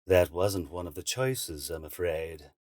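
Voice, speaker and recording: An actor in an audio drama playing a cruel, politely menacing interrogator with a mock-courteous, deliberate delivery. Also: male voice, deep voice, expressive, background noise. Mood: polite menace.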